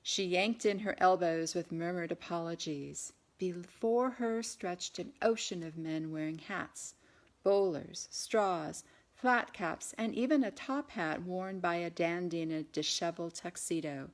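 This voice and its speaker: Low-pitched woman narrating a novel. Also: unhurried. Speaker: author reading own novel aloud. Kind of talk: audiobook narration.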